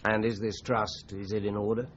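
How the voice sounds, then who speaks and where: An actor playing a courteous, methodical Scotland Yard inspector in a British radio crime drama, speaking in a polite, measured, probing way. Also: male voice, fairly low pitch, little pitch movement, natural voice. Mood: deferential, inquiring. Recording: muffled radio drama recording, faint noise.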